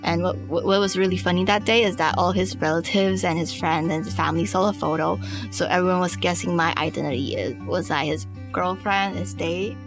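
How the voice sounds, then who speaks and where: Young Taiwanese woman, lowish voice, reflective storyteller. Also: brisk, few pauses, over music. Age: young adult. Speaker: interview guest. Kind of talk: personal storytelling in an interview. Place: studio.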